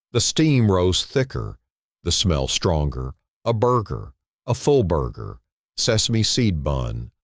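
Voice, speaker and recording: A man narrating a dramatic scripted story in a solemn manner, breaking it into short, halting phrases. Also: low voice, no echo, clean studio sound.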